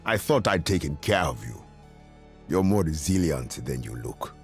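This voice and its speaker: A man's voice: rumbling low, very animated, normal speed, faint music behind, heavily acted. A man playing an imposing, arrogant villain in a video game, menacing and condescending.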